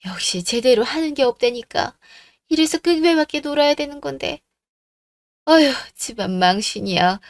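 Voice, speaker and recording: Radio drama: woman plays bickering older in-law, whiny, blaming, fairly high voice. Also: expressive, moderate pace. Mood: disgusted grumbling. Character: bickering, self-justifying older in-law relative, grumbling. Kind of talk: radio drama story acting.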